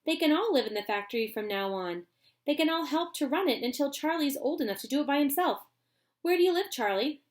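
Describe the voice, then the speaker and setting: A woman voicing an eccentric, excitable old man who makes candy, in a storybook reading. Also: sits high, voice pushed up. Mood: bossy. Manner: brisk, eager and bossy.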